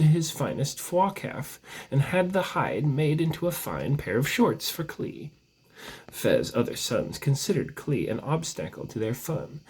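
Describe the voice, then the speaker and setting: Husky man reading with very lively pitch. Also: low-quality recording. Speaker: storyteller reading aloud. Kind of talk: amateur storybook narration of a mock legend.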